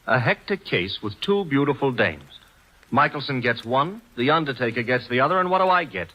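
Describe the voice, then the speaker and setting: A young, wisecracking assistant detective rattles off slangy quips in a lively, swooping voice. His tone is bright and forward, typical of a 1940s radio drama. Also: medium pitch, fairly quick, highs cut off. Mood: wry, grumbling.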